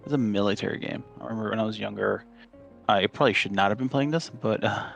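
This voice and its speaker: A man's voice: fairly low pitch, fast talker, gravelly, faint music behind. A man from Chile, a podcast guest, telling rambling stories in casual chat with lots of fillers and restarts.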